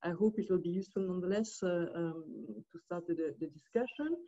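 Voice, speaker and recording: A woman's voice: fairly low pitch, a little fast, warm tone, dull audio. A woman from Switzerland giving an academic commentary over a video call, speaking hesitantly with many fillers and restarts. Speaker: discussant.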